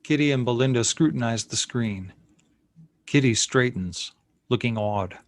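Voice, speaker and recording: A man's voice: medium pitch, measured pace. A man reading out scene headings and stage directions as narrator of a screenplay.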